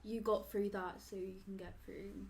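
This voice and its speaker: A woman's voice: mid-range voice, level tone, moderate pace, a little hiss or hum. Earnest eighteen-year-old woman explaining. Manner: earnest, explanatory, with likes and fillers. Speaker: group member, guest. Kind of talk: casual group discussion. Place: meeting room.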